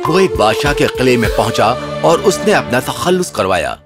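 A man's voice: mid-range voice, big pitch swings. A man narrating a scripted fairy tale for children. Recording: music under the voice.

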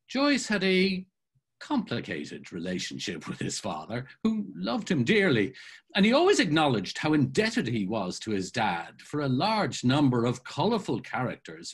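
Expressive husky man in his sixties reading aloud. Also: medium pitch. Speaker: actor and reader. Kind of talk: dramatic literary reading. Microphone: video call.

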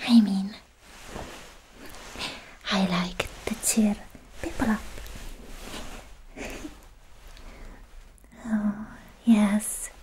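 A young woman playing a lovestruck, bashful anime swordswoman in a coy, hesitant, breathy whisper for an ASMR roleplay, close to the microphone. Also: mid-range voice, dark tone, slight background noise, rough recording. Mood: shy, affectionate. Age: young adult.

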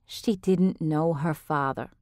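A woman voicing a calm, stoic grandmother who speaks quietly and evenly. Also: lowish voice, normal speed, dry recording, studio quality, lightly acted.

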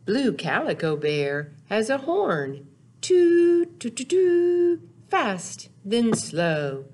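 A woman's voice: a little high, sing-song, extremely slow, darkish tone, husky. An older woman presenting storytime for small children, talking and reading aloud in short, simple phrases with playful sound words. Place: small indoor room, seen seated before a wall.

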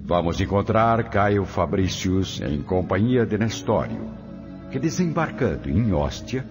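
Deep-voiced male drama narrator. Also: sing-song, unhurried, music in the background. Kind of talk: scripted audio drama narration. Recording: faint background noise and ambience.

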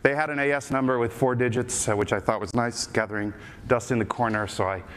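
A man's voice: mid-range voice, steady tone, a little fast, fairly bright tone. A middle-aged man from the Netherlands with an American-sounding English accent, giving a casual technical conference talk on stage, full of filler uh's and restarts. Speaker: conference speaker. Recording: reverberant hall with faint background noise.

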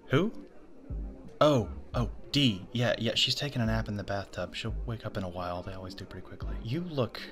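A man voicing a smitten, possessive spirit in a young man's body, breathy and stammering, in an intimate ASMR roleplay. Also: fairly low pitch, expressive, fairly quick, a bit bright, faint music behind. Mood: smitten, nervous. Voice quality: breathy, often dropping to whispers. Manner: awestruck, stammering, eager.

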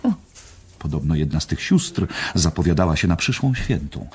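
An actor in a radio play as a long-winded, wry American war correspondent telling a rambling story. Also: male voice, low voice, sing-song, moderate pace, a little mellow.

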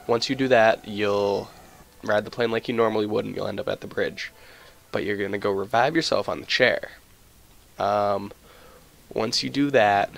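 A man's voice: on the low side, vocal fry, fairly bright tone, a little hiss or hum. A man narrating a casual video game walkthrough.

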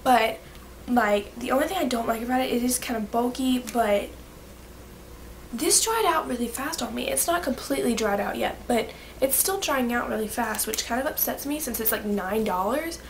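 A woman's voice: fairly high pitch, animated, a little hiss or hum. A young woman with a stuffy, cold-affected voice, gushing about her favorite products in a casual vlog recorded in her bedroom. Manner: bubbly, gushing, quick asides. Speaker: beauty vlogger. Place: bedroom, indoors.

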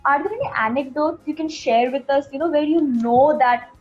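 A woman's voice: sits high, normal speed. A young woman asking interview questions on a dull-sounding video call. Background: background music.

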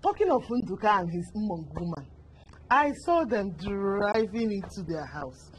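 Actress as lively, consoling gossip friend. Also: female voice, medium pitch, measured pace, slight background noise, rough recording. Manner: sympathetic, gossipy. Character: gossiping Nigerian female friend of the mother. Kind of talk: Nollywood-style skit acting.

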